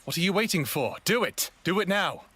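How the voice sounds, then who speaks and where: A man acting a wounded, sardonic human in an audio drama, narrating and speaking with a raspy, emotional, self-deprecating delivery. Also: high voice, lively intonation, brisk, fairly bright tone, full character voice. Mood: urgent, frightened. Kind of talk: first-person audio drama narration and dialogue.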